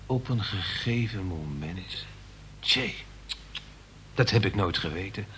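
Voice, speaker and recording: A man's voice: low-pitched, expressive, takes it slowly, fairly bright tone, slight background noise, exaggerated voice. An actor playing a young son who listens in naive astonishment to his mother in a radio play. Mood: astonished.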